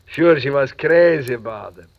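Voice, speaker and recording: A man's voice: mid-range voice, lively intonation, normal speed, close to an everyday voice. An actor as a cagey old mine foreman. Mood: sly. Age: older. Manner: broken grammar, terse, sly chuckling delivery. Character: cagey old-timer male mine foreman, gruffly menacing. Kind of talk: 1940s radio drama acting. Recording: muffled, noisy old radio recording.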